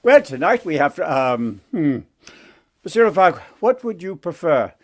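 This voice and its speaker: A man doing a slow, stately fairy-tale king. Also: a little high, very animated. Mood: courteous. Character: genial, firm fatherly king.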